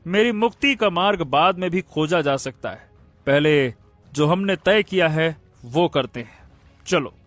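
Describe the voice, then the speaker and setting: A man playing a gallant young prince in an animated fairy tale, speaking earnestly and politely. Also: fairly high pitch, a little slow, dry recording, soft background music. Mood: anxious, pleading. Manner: earnest, polite, stammers when startled.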